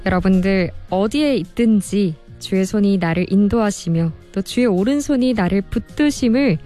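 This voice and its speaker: A woman hosting a radio music show, reading listener messages in a polite, expressive presenter style. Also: mid-range voice, normal speed. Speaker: radio host and producer. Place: radio studio.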